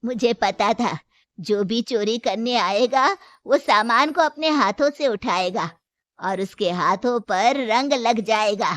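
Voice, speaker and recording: A woman acts out an older mother-in-law and shopkeeper in a moral story, shrewd and firm. Her voice is high and her delivery slow and deliberate, with a dry studio sound. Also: a bit bright, no echo. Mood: smug. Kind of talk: Hindi moral story character acting.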